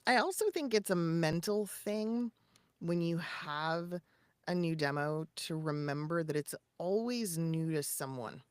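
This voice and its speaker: A woman co-hosting a podcast, giving casual, conversational advice in an animated, emphatic way with short punchy asides. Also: on the low side, unhurried, smooth, no echo. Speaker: podcast co-host and voice actor.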